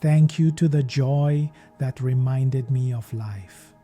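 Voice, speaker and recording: Gentle, very mellow male meditation guide. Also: medium pitch. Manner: gentle, measured, soothing delivery. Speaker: podcast host and meditation guide. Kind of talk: slow guided meditation narration. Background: meditation music.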